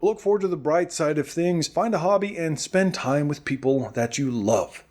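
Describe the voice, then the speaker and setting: A man playing an affable, earnest radio show host in a post-apocalyptic comedy drama, chatty, rambling and gently teasing. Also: on the high side, animated, normal speed, natural voice. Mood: rambling, upbeat.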